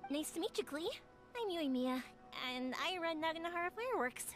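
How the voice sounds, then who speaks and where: A sunny young fireworks maker who gushes in a high, lively voice. Soft game music plays beneath her. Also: fairly quick. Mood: friendly. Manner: bright, friendly, gushing. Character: sunny young woman, warm-hearted festival fireworks maker. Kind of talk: game cutscene voice acting.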